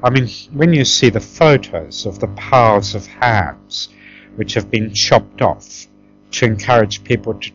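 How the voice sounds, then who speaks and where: A man's voice: mid-range voice, fairly bright tone, highs cut off. A man from Tanzania, middle-aged, talking conversationally as an interview guest, emphatic and often checking for agreement as he reminisces.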